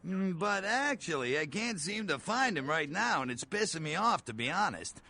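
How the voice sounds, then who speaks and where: A man's voice: sits high, big pitch swings, normal speed, sharp tone, full character voice. An actor playing a blunt, folksy Southern American bail bondsman in a video game, with an informal drawl. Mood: irritated, persuasive.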